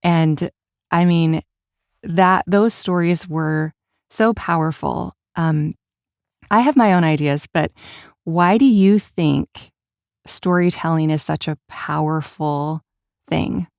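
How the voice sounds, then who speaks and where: A woman hosting a podcast, talking in a reflective, measured, conversational way. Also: low-pitched, vocal fry, darkish tone, clean tone, no echo, studio quality. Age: adult, parent of children. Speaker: podcast host. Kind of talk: conversational interviewing.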